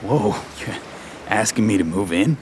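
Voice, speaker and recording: Deep-voiced guarded young mercenary. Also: male voice, expressive, a little slow, background noise. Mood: earnest, subdued. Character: young male mercenary in a cyberpunk city, guarded, blunt. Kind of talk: video game voice acting.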